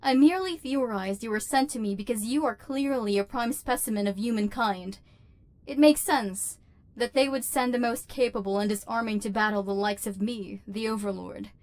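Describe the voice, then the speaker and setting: Female robot overlord, acted by a woman, slightly high pitch, precise stilted diction, lonely, bitter, lovelorn, ASMR roleplay. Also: moderate pace, a little mellow, close to an everyday voice. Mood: flustered, defiant, then cold, guarded. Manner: formal, precise, stilted diction with wounded feeling. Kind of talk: scripted ASMR roleplay acting.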